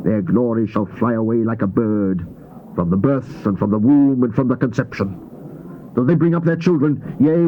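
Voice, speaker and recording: An actor playing a stern Old Testament prophet, solemnly declaiming scripture in a dramatized Bible reading. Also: male voice, medium pitch, highs cut off, a little hiss or hum, lightly acted. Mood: grieving anger. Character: stern male Old Testament prophet proclaiming God's judgment. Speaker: scripture reader.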